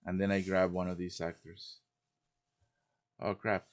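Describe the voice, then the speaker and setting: A man hosting a casual step-by-step software tutorial on a live stream, thinking aloud informally as he works. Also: low voice, flat delivery, measured pace. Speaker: live stream host teaching quest making. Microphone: computer microphone on a live stream voice chat.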